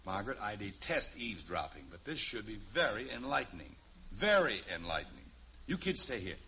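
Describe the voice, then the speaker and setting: A man's voice: lowish voice, very animated, brisk, bright, forceful tone, breathy edge, full character voice. An actor playing an indignant, mock-pompous family father in a 1950s radio sitcom. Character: Jim, exasperated, proud middle-class family father. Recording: muffled old radio recording, faint hiss.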